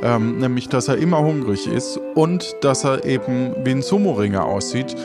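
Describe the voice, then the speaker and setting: A man hosting an audio play as game master, talking casually and informally with halting phrasing. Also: mid-range voice, lively intonation. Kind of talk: casual podcast talk and narration. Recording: music behind the voice.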